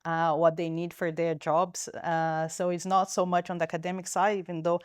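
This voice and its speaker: Woman, low voice, smooth and clear, dry close sound. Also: level tone, moderate pace, no room sound. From Brazil. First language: Portuguese. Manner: thoughtful, frequent restarts and fillers. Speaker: company founder and guest. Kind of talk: interview answers.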